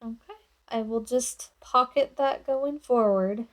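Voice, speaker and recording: Higher-pitched woman playing a tabletop game. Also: slow. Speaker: player in a tabletop roleplaying game. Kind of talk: casual in-game roleplay.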